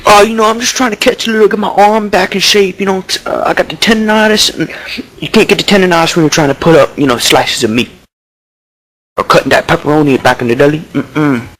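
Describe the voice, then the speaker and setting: A man's voice: sits high, fairly even intonation, fast, a bit bright, poor audio. A man playing a dim-witted young baseball prospect who rambles with slang and lots of fillers. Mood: cocky, rambling. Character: dim-witted young male baseball prospect, deli-obsessed, Bronx swagger. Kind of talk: improvised mock interview.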